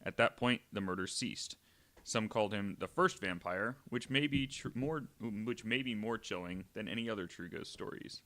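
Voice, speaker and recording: Young dude reading ghost stories on a podcast, low voice but bright and sharp. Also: male voice, moderate pace. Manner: reads aloud with jokey asides. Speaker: guest co-host. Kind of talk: casual podcast chat, reading an article aloud.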